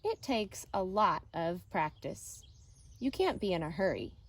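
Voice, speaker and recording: A woman voicing a gentle, patient, wise old man in a storybook, plain-spoken and reflective. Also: fairly low pitch, big pitch swings, a little slow, slightly rough, exaggerated voice.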